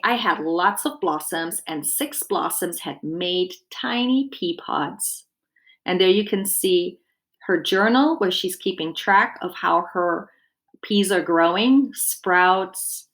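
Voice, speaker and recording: A woman's voice: on the low side, slow, a bit bright. A woman reading a children's book aloud expressively, with simple explanatory asides as if to young children.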